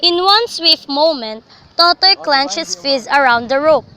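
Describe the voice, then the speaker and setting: High, bright woman narrating a radio drama. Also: expressive, measured pace.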